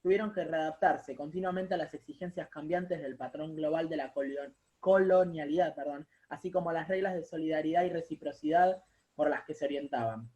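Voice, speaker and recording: A man's voice: on the high side, brisk, darkish tone, poor audio. A young man with an Argentine accent, a student speaking up in a class discussion. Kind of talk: class discussion, partly reading aloud.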